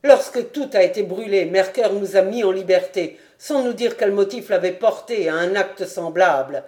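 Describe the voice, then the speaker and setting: Woman narrator voicing a dignified lieutenant defending his honour, firm and loud. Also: medium pitch, normal speed, close to an everyday voice. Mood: composed. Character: steadfast male lieutenant, cool then indignant.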